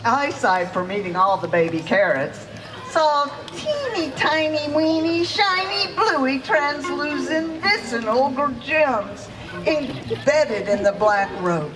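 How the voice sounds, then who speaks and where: A woman giving a playful, expressive theatrical storybook narration at a live puppet show, relishing every alliteration. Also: sits high. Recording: distant mic, loud music, crowd noise. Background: loud music and audience.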